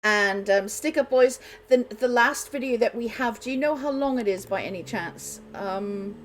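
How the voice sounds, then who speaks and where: A woman's voice: on the high side, moderate pace. A woman hosting a radio show in casual, unscripted chat, talking in a chatty, rambling way.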